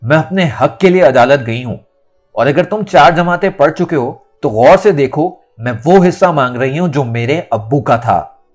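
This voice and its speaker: Male narrator as unyielding young heroine of an audio novel, emphatic, pitched up, music beneath. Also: animated, normal speed. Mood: angry, defiant. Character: defiant young woman standing up for her rights. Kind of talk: dramatic audiobook character acting.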